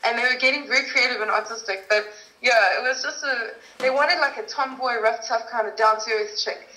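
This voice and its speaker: A woman's voice: fairly high pitch, brisk, pushed and bright. A woman from South Africa, a guest actress telling stories in a casual interview, chatty and laughing often. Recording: echoey room.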